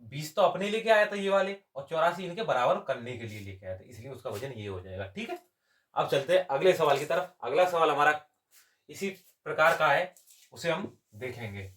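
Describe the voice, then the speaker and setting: Male tutor, fairly high voice, explains step by step and answers his own questions. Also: expressive, normal speed, fairly bright tone. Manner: asks questions and answers them himself. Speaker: exam coaching maths teacher. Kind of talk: step-by-step lesson.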